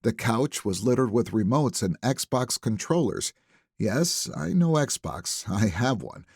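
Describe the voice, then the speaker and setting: Husky, expressive male audiobook narrator. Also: medium pitch, slow pace, no echo, clean studio sound. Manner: expressive, wry, conversational. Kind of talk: scripted first-person thriller narration.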